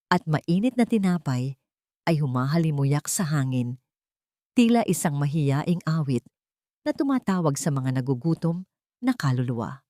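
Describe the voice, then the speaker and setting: Female narrator, low register, earnest dramatic romance storytelling, dry studio-like sound with little room. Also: a little mellow, dry recording. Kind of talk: dramatic scripted narration of a Tagalog love story.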